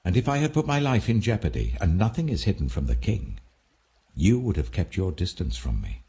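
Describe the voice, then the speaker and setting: A man's voice: sits low, very animated, a little fast, vocal fry, dark tone, heavily acted. A man voicing a principled common soldier who stands his ground, earnest and emphatic, in a scripture audiobook. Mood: earnest, defiant.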